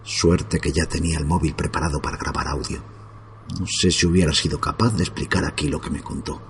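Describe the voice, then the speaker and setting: A man narrating a horror story in a slow, grave, hushed manner. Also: extremely low pitch, steady tone, slight background noise.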